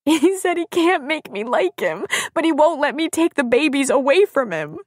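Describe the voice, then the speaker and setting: A woman narrator performs a distraught young woman in an audiobook novel. Her voice is pitched well up, tearful and full of guilt, with a dry, close sound. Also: very animated, normal speed, no room sound. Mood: anguished. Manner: tearful, emotional delivery. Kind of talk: audiobook character dialogue.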